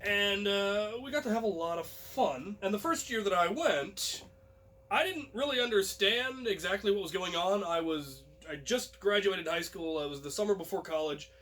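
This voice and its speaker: Higher-voiced man telling a casual story. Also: normal speed, pushed and bright, rough recording. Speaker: host and storyteller. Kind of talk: casual first-person storytelling to viewers.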